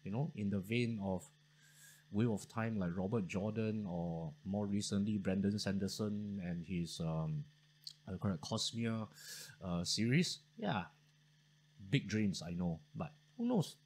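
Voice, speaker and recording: A man's voice: fairly low pitch, animated, unhurried, a little mellow, breathy edge, low-quality recording. A man, hosting his history channel in a casual, thankful chat to camera full of fillers and restarts, recorded at home on a studio mic. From Singapore. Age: 40s. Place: home study with bookshelves.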